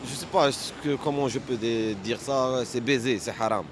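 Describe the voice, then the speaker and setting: A man whose first language is Arabic, speaking French as a second language in a street debate, halting and insistent, often repeating words. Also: mid-range voice, slight background noise. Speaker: street interviewee.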